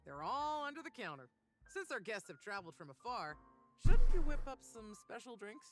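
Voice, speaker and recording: A showy bar hostess for a video game. She is suave and playful, with polished, theatrical patter that swings widely in pitch. Music plays underneath. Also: big pitch swings, normal speed. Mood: teasing. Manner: theatrical, teasing, polished hospitality. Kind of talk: video game character voice acting.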